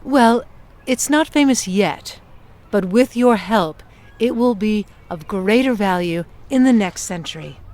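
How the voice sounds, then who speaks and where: Female actor as composed time-travelling envoy, medium pitch, formal diction, precise, businesslike, persuasive, audio play. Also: animated, a little slow, darkish tone, close to an everyday voice. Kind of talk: audio drama acting.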